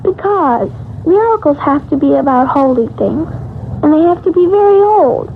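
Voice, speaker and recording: High-voiced little girl, tinny radio. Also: lively intonation, normal speed, creaky. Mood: puzzled, insistent. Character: earnest, innocent, matter-of-fact little girl. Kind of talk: old-time radio drama acting. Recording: tinny radio recording, loud noise.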